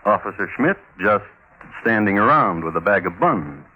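Old time radio crime narrator guy, bright voice, very animated pitch, dramatic pauses, bit of hiss. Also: rough recording. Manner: wry, measured, theatrical pauses. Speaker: host and narrator. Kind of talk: 1950s radio crime storytelling. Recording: old radio recording with faint noise.

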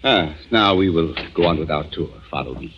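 A commanding, secretive country doctor with an extremely low voice. His delivery is measured, firm and evasive, in the manner of 1940s radio drama. The old recording is dull and faintly noisy. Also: animated. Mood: businesslike.

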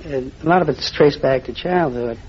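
A man's voice: mid-range voice, normal speed. A man, a quiz contestant, giving terse, plain-spoken answers in an interview. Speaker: quiz show contestant, chiropodist. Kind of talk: brief unscripted interview answers. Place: broadcast stage before a live audience. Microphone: stage radio microphone. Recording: muffled old radio recording with hiss.

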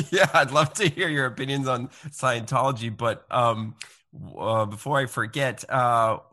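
Casual podcast host, bright voice. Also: male voice, medium pitch, measured pace. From grew up speaking Romanian. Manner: casual, hesitant, loses his train of thought. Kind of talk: casual interview talk.

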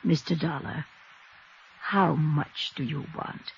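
A woman's voice: low voice, slow pace, husky, exaggerated voice. An actress playing a wealthy, scheming old woman who feigns sweet innocence in a genteel way, in 1950s radio drama style. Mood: coaxing, calculating. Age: older. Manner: genteel, protesting. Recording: dull, muffled 1950s radio recording.

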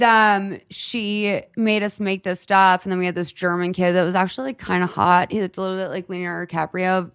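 A woman's voice: fairly low pitch, smooth. A woman in her thirties hosting a podcast, gabbing and teasing in casual chat, full of "like" fillers. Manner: gabby, teasing, lots of "like" fillers. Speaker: podcast host.

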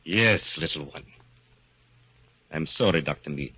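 A domineering brute of a strongman from a vintage radio play. His very low voice is hard-edged and a little husky, and he talks down to others with slow, cold menace. The recording sounds dull and muffled. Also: pushed and bright, no echo, exaggerated voice. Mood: menacing. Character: cruel, domineering giant strongman guardian. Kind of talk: 1940s radio drama acting.

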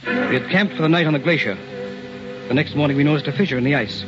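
An actor playing a determined, curious professor leading an expedition in an old-time radio drama, grave and earnest in delivery. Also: male voice, on the high side, expressive, quick pace, over music, close to an everyday voice. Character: determined, curious male scientist professor, middle-aged expedition leader. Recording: muffled vintage radio recording.

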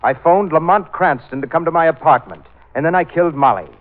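An actor playing a suave, sinister, cultured killer in an old-time radio drama, speaking with mannered, mocking politeness. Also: male voice, a little high, brisk, natural voice. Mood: smug, persuasive. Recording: tinny band-limited old radio recording, music behind.

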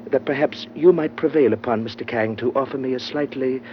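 A man's voice: medium pitch, a little fast, natural voice. A man acting an effete, genteel schemer, muffled vintage radio. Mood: oily, courteous. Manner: flowery, formal, fussy, hesitating politely. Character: genteel, effete, scheming male underworld trader, unctuously polite. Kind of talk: old-time radio adventure drama acting. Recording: dull, noisy vintage radio recording.

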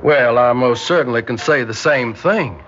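An actor playing a sly, smooth-talking younger man, a charmer in debt, in a radio drama. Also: medium pitch, normal speed, fairly bright tone, natural voice. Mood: flirtatious, tender. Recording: dull lo-fi radio drama, music behind.

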